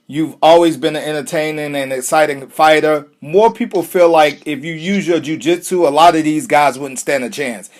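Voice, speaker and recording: A man's voice: a little high, moderate pace, few pauses. A man interviewing a fighter in a casual, friendly way, often calling him brother. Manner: friendly, calls guest brother.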